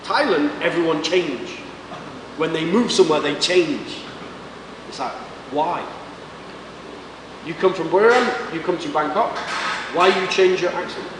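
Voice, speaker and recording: A man's voice: fairly high pitch, a little slow, long pauses, distant mic. A man with a Manchester accent teaching English to a class, speaking in short, simple sentences and quizzing students. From England. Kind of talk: classroom lecture. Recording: echoey classroom recorded from afar with background hiss.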